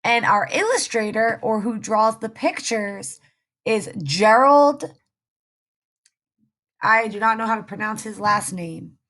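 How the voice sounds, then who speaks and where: Slow-paced woman reading to children. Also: fairly high pitch, animated, fairly bright tone. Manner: simple explaining for young children. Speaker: read-aloud storyteller. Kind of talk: picture book read aloud with explanatory asides.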